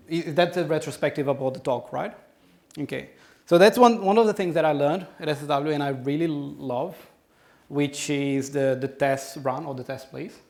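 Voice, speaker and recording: A man's voice: on the high side, darkish tone. A man in his thirties with a Brazilian accent, answering audience questions after his conference talk, with frequent ums and restarts. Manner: hesitant, many restarts and ums. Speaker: conference speaker. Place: conference room.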